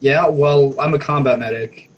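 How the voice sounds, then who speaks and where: A man's voice: medium pitch, steady tone, moderate pace, highs cut off. A man chatting casually as a player in a tabletop roleplaying game. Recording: faint background noise.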